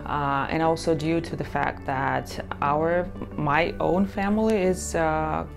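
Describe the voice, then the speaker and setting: A middle-aged woman answers interview questions haltingly, pausing often to find her words. Her voice sits low and very creaky. Music plays clearly in the background. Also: steady tone. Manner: hesitant, many pauses and fillers. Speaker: interviewee, immigrant. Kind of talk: personal testimony to camera. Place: indoor studio against a light wall. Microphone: lapel mic. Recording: music underneath.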